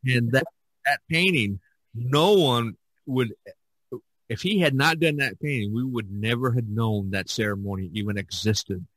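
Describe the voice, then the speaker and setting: A man hosting a podcast in casual discussion, halting and repeating himself as he searches for words. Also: mid-range voice, fairly bright tone, dry recording, poor audio. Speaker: podcast host.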